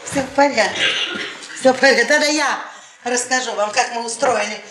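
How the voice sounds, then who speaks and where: An actress playing a brash, mocking middle-aged professor's wife in a radio play, telling things in an earthy, animated way. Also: female voice, a little high, brisk, natural voice. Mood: mocking, amused. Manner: earthy, laughing, animated storytelling. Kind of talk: theatrical radio play acting. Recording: roomy stage sound with audience noise.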